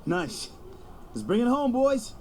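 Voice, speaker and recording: A man's voice: extremely high pitch, wildly swooping pitch, takes it slowly, a little mellow, slight background noise, full character voice. An actor playing a young star quarterback, cocky yet anxious, narrating his own story in an audio drama. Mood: determined.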